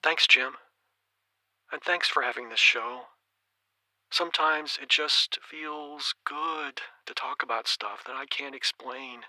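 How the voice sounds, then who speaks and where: A slow-talking, troubled male caller to a radio show. His voice is strikingly sharp and very dry, and he sounds hesitant and bewildered, in audio drama style. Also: mid-range voice, dry recording, poor audio. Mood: grateful.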